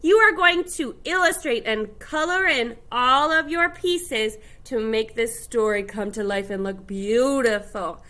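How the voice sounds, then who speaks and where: A woman's voice: a little high, measured pace, few pauses, pushed and bright. A woman teacher giving step-by-step instruction to young children, phrasing things simply, stressing key words and asking guiding questions. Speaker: elementary school teacher.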